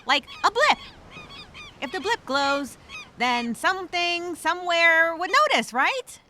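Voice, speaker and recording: A woman's voice: very high voice, lively intonation, slow pace, bright, forceful tone, slight background noise, heavily acted. A woman playing a nervous, wry castaway who rambles and reassures herself in a spoken journal monologue. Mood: anxious, self-reassuring. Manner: rambling, nervous, self-questioning, sarcastic asides. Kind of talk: first-person dramatic monologue as spoken journal.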